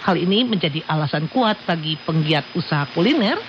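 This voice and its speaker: Through a dull, noisy shortwave signal, a woman presents radio news. Her voice sits fairly low and moves with lively intonation. The delivery is scripted, that of a co-host reading bulletins. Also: a little fast.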